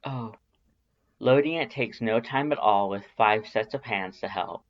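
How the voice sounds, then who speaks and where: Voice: mid-range voice, moderate pace, lo-fi sound. A narrator reading a story aloud in a scripted audiobook style.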